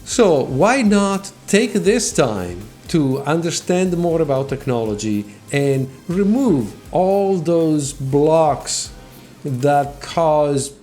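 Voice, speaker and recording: A man's voice: fairly high pitch, a little mellow. Italian-accented man hosting a tech channel. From Italy. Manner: earnest, with repeated words and restarts. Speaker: channel host. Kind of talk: talking to camera, motivational explainer. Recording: faint music behind voice.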